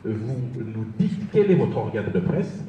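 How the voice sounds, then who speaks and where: A man's voice: lowish voice, big pitch swings, a little slow. A man moderating a press conference in a courteous, wordy and formal way as he hands out the questions. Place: press conference room in Brussels. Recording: very echoey hall, distant, dull, faint noise.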